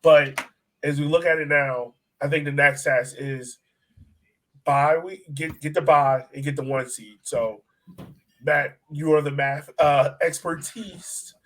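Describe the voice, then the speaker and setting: A man's voice: a little high, steady tone, takes it slowly, fairly bright tone, a little hiss or hum, rough recording. A man co-hosting a podcast in casual sports discussion, talking emphatically and repeating phrases for stress. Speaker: podcast co-host.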